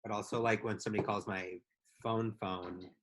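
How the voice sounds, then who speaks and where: A woman's voice: very deep voice, steady tone, moderate pace. A woman co-hosting a podcast, chatting casually with a dry, teasing manner. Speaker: podcast co-host. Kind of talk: casual chat.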